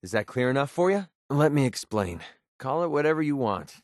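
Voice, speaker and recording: An actor playing a young, cynical but sentimental mercenary in a video game, talking in a casual, wry way. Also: male voice, mid-range voice, very animated, brisk, a little mellow, no room sound, studio quality, close to an everyday voice. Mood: angry, frustrated. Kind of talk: video game companion voice lines.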